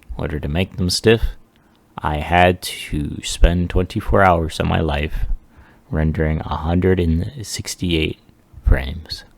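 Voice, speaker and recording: A man giving a casual software demo walkthrough, offhand and self-deprecating as he thinks aloud. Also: low-pitched, gravelly, no room sound. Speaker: 3D artist presenting a project.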